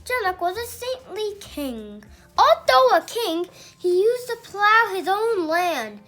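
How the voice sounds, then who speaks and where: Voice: very high voice, very animated, takes it slowly, a bit bright. A child reading a mythological storybook aloud in a careful, emphatic way, with occasional stumbles over words.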